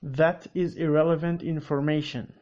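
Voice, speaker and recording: A man's voice: a little high, level tone, moderate pace, darkish tone. Curt native Hebrew-speaking man, dull audio. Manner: curt, corrective, matter-of-fact. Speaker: commentary host. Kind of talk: scripted rebuttal narration.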